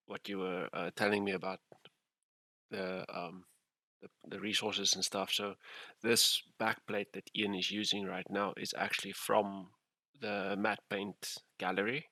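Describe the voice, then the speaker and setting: A man's voice: on the low side, pushed and bright, dry recording. A man hosting a casual livestream interview, talking in loose run-on phrases and laughing often. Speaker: livestream host.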